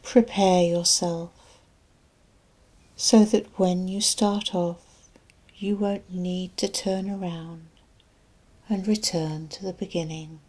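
A woman hypnotherapist narrating a guided relaxation and sleep hypnosis in a soft, breathy, soothing and deliberate voice, leaving long pauses between phrases. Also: on the low side, steady tone.

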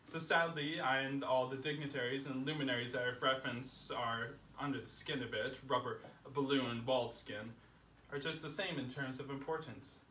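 A young man reading a humorous essay aloud in a projected, deliberate, mock self-important way. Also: mid-range voice, steady tone, sharp tone. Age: young adult. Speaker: reader at a home literary salon. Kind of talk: live reading of a humorous first-person essay. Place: living room, indoors, small seated audience. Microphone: distant camera microphone. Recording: roomy echo, distant camera mic, faint noise.

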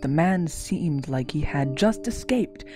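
A woman's voice: low voice, expressive, slow pace. A woman giving a dramatic reading of a story, sweeping in delivery, over background music. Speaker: reader.

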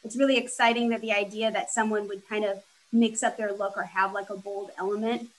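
A woman's voice: mid-range voice, little pitch movement, a little fast, poor audio. A young woman designer on a video call from home, speaking in an easygoing, upbeat way. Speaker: furniture designer. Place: home room with plants.

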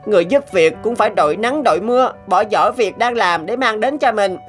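A woman's voice: medium pitch, expressive, fairly quick, faint music behind, close to an everyday voice. A woman voicing an earnest, outspoken young boy in a cartoon, polite but reproachful. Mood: earnest, instructive. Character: earnest, outspoken nine-year-old boy. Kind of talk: animated moral tale dubbing.

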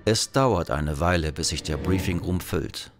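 A man's voice: deep voice, measured pace, loud music behind the voice. A man giving scripted narration for a sci-fi audio drama.

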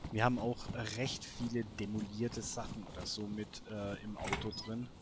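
A man's voice: on the low side, little pitch movement, normal speed, heavy background noise. A man giving casual gameplay commentary, thinking aloud in a colloquial, musing way. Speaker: gamer, let's play host.

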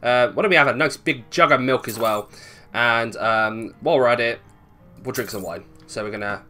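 A man's voice: mid-range voice, moderate pace, sharp tone, faint music behind. A man giving casual, chatty gameplay commentary, thinking aloud playfully and swearing freely. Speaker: gaming video host.

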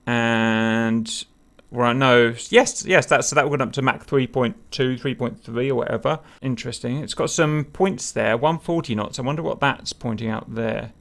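A man's voice: medium pitch, gravelly, a bit bright. A man leads a loose group discussion over voice chat. He thinks aloud, trailing off into fillers and asking the others what things are. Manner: thinks aloud, many fillers and wondering questions. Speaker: host leading a group discussion. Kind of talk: casual group chat over a cockpit picture.

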